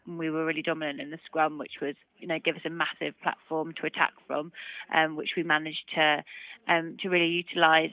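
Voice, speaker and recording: A woman's voice: low-pitched, no room sound. Woman doing a casual sports interview down the phone, dull narrow sound, kinda rambly answers, pretty dry. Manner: hedging, loose run-on answers with "kind of" and "you know". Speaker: rugby player being interviewed. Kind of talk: casual post-match interview chat. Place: phone call. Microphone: telephone. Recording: muffled narrowband phone line.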